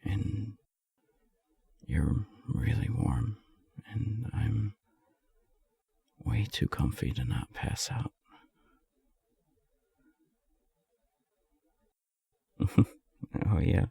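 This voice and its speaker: Casting: male actor for shy, self-deprecating friend in love, romance audio roleplay; slow, gravelly, halting delivery. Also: on the low side, expressive, heavily acted. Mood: sleepy, playful. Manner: hesitant, halting, soft-spoken. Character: lovestruck, self-deprecating non-binary friend, shyly confessing.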